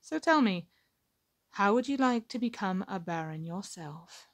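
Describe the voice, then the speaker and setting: A woman's voice: medium pitch, lively intonation, unhurried, close to an everyday voice. Woman acting a defiant, wry captive lady admiral. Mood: wry, teasing. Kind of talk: ASMR roleplay acting.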